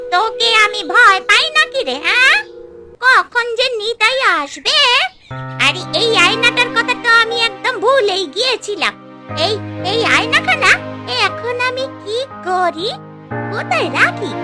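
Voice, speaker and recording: Shrill sly cartoon witch. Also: lively intonation, a little slow. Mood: menacing, anxious. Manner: theatrical, scheming self-talk. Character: sly female witch muttering secret schemes, fairy-tale villain. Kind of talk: Bengali fairy-tale cartoon voice acting. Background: music.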